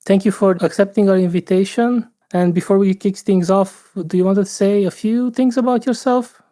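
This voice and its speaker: A man's voice: high voice, fairly even intonation, moderate pace, mellow. A man hosting a climate podcast, speaking thoughtfully with frequent hesitations and fillers. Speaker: podcast host. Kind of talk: casual podcast conversation.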